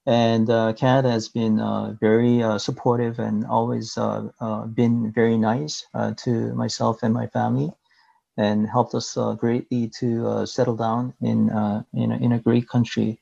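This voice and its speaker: Hesitant Korean-accented man, gravelly voice. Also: medium pitch, level tone, warm tone. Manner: hesitant, many filler pauses. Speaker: interview guest. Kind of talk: recorded video call interview. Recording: dull muffled audio.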